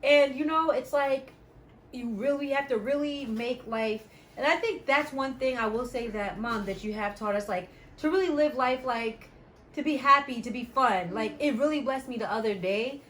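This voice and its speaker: A woman's voice: high voice, normal speed, a bit bright, a little hiss or hum. A woman in her thirties hosting a casual chat with her family, talking straight to her viewers. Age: 30s. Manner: chatty, talks to viewers as y'all. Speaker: vlog host. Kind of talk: casual chat while eating.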